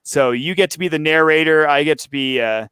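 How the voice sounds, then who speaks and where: A male interview host with a smooth, clean voice and a very dry sound. He chats casually and rambles, often repeating a word or two while he finds the rest of the sentence. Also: on the high side, fairly quick, a bit bright, dry recording. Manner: rambling, repeats his words as he thinks. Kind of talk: casual chat.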